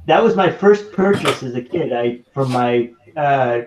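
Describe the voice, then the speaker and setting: A man's voice: medium pitch, animated, measured pace. A man in his forties hosting a casual group chat, rambling and hesitant with many fillers. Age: 40s. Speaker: host. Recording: echoey room with faint noise.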